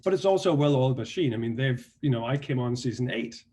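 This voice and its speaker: Danish guy, mid-pitched, loose and chatty interview guest who restarts his thoughts a lot. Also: quick pace. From Denmark. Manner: relaxed storytelling with many restarts and fillers. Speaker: actor and guest. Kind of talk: casual interview talk.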